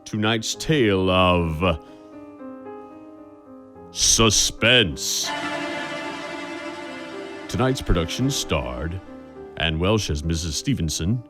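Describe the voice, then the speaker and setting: An actor playing a wry, ominous narrator of a radio suspense show, speaking with dramatic deliberation. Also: male voice, low-pitched, animated, very slow, long pauses, music in the background, exaggerated voice. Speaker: narrator and announcer. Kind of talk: theatrical old-time radio narration.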